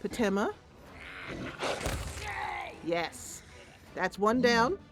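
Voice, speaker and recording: A woman's voice: mid-range voice, very animated, drawn-out words, music in the background. A woman doing casual gameplay commentary, thinking aloud playfully and talking to the game's characters. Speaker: host of a game playthrough.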